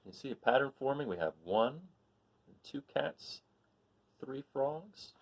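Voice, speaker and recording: A man reading a picture book aloud to children, pausing to count along and quiz his young listeners. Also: medium pitch, lively intonation.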